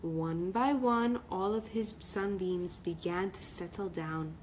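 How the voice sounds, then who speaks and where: A woman's voice: on the low side, slow pace, darkish tone, a little hiss or hum, rough recording. A woman storyteller reading a children's book and chatting casually on a livestream. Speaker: author and storyteller.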